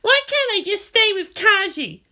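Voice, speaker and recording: Shrill, sulky teenage girl. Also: very animated, unhurried, sharp tone, heavily acted. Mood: angry, whining. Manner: grumbling, defiant. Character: haughty, sulky, hot-tempered teenage girl.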